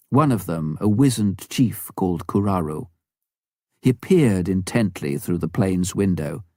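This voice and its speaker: A man reading a missionary story as an audiobook narrator, with a measured storytelling delivery. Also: sits low, warm tone, dry recording, clean studio sound.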